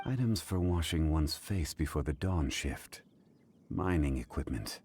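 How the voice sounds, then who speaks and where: A man voicing a calm, learned and dignified character in a video game, formal and deliberate as he reasons aloud. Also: a bass voice, a little hiss or hum. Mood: composed.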